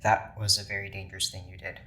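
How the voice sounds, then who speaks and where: An actor playing a stiff, formal scientist in an audio drama, speaking in precise, clipped phrases. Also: male voice, low-pitched, little pitch movement, fast, pushed and bright. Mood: formal concern. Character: stiff, formal scientist doctor running experiments.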